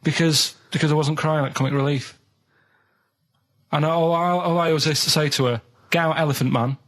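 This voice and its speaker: A man with a northern English, Manchester accent and a fairly high voice. He chats casually as a radio sidekick, rambling in an earnest, reluctant way. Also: steady tone, brisk, fairly bright tone.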